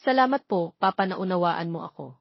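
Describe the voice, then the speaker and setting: A woman's voice: on the low side, lively intonation, fairly quick, gravelly, no room sound, dull audio. A woman voicing an earnest, anxious young expectant father in a narrated love story. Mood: grateful, relieved.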